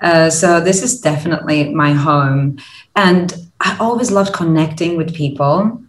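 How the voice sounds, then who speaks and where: Low-voiced woman from Croatia in an echoey room. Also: measured pace. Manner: earnest and thoughtful, with hesitant fillers. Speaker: guest, positive psychology lecturer and consultant. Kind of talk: explanatory podcast interview. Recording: roomy echoey sound.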